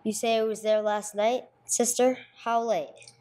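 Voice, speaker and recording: A woman's voice: on the high side, level tone, a little slow, dark tone, close to an everyday voice. A woman playing a no-nonsense police sergeant who narrates a case in a radio play, clipped and matter-of-fact. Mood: businesslike, probing. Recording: clear background noise, room ambience.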